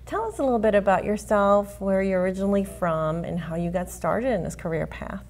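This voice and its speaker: A woman's voice: medium pitch, fairly quick, a little mellow, a little hiss or hum. A woman hosting a talk show, asking her guest chatty, encouraging strings of questions. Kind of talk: interview hosting.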